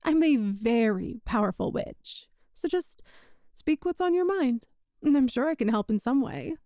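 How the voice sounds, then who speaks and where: A woman's voice: fairly high pitch, expressive, a little slow, warm tone, dry recording, clean studio sound. A woman playing a sweet, possessive young witch shopkeeper in an ASMR roleplay, with a coy, doting, teasing manner. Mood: tender, coaxing. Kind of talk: ASMR romantic roleplay audio.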